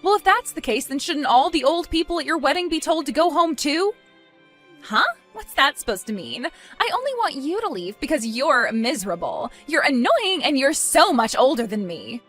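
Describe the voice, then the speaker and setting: A spoiled young bride in a dramatized family story, acted out by a woman. Her voice is high and swings widely as she whines and pleads petulantly, with music playing underneath. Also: big pitch swings, normal speed, fairly bright tone. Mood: indignant. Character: spoiled, whiny, self-centred young bride, pleading with mother.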